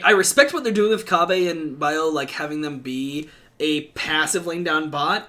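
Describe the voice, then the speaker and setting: High-voiced man talking esports. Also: a bit bright. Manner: quick, rambling, with restarts and swearing. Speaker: esports podcast host. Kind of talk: casual esports analysis chat.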